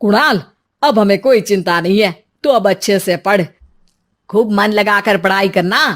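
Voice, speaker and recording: A woman's voice: mid-range voice, moderate pace, close to an everyday voice. A humble rickshaw-puller father in a cartoon morality tale, acted by a woman. He speaks deferentially, with an anxious, pleading manner. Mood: concerned.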